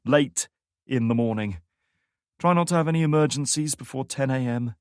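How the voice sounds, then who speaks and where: A man's voice: medium pitch, moderate pace, clean tone, no echo, clean studio sound, voice pushed up, natural voice. A man voicing a curious, wisecracking young male teammate who coaxes and teases. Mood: teasing. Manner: teasing, coaxing.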